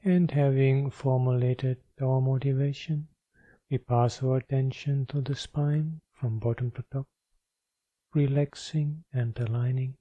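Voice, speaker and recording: A man leading a guided meditation as a teacher, speaking in measured, deliberate phrases of gentle instruction. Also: medium pitch, steady tone, dry recording, lo-fi sound.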